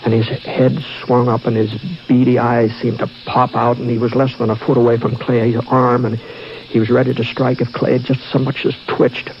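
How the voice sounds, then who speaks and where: Man voicing a calm cowboy, even mid-pitched voice, dull old radio sound, faint music. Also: slight background noise, close to an everyday voice. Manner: calm, measured, reassuring. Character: calm steady cowboy, drawling frontier narrator. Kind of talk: 1950s radio drama acting.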